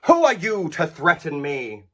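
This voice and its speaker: A man's voice: a little high, huge pitch swings, measured pace, pushed and bright, exaggerated voice. A man voicing a cruel male tyrant in a children's story, growling and hissing his threats. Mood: outraged.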